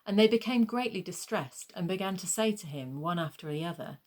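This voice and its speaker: Low-pitched woman narrating scripture. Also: lively intonation, brisk, a little mellow. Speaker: gospel narrator.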